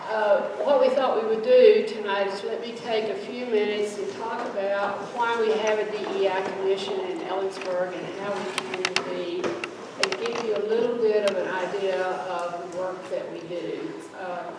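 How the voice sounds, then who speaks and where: Woman, halting panel talk, echoey hall. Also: mid-range voice, fairly even intonation, background noise. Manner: self-deprecating, halting with many uh fillers. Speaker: city council member and panelist. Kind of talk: panel presentation. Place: large echoey lodge hall. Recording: distant, echoey hall recording.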